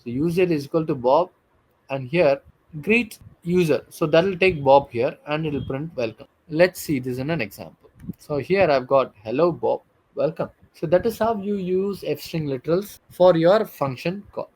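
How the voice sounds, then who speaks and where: A man's voice: on the high side, moderate pace, a little mellow, slightly rough, rough recording. A man teaching a programming lesson over his screen, asking questions and answering them himself, with frequent "okay" and "right". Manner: explanatory, asks and answers his own questions. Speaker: programming instructor. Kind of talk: screen-share coding lesson.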